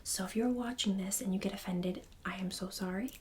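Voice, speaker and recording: A woman whispering casually to viewers in an ASMR style, gentle, apologetic and reassuring. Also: medium pitch, steady tone, brisk, a little hiss or hum. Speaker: ASMR creator.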